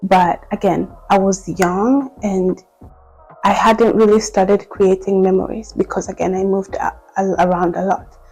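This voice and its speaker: Mellow-voiced young woman, reflective. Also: mid-range voice, little pitch movement, unhurried, slightly rough, faint music behind, rough recording. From Democratic Republic of Congo. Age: 20s. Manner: reflective and candid, with frequent "like" and restarts. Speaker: vlogger. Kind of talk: casual personal vlog.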